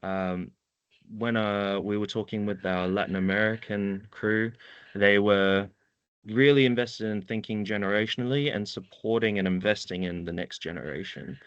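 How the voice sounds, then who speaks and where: A man's voice: fairly low pitch, steady tone, moderate pace, smooth, no echo, highs cut off. A man with a New Zealand accent giving an informal slide presentation, laid-back and slangy in his way of talking. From central North Island, New Zealand. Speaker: webinar presenter, environmental data worker.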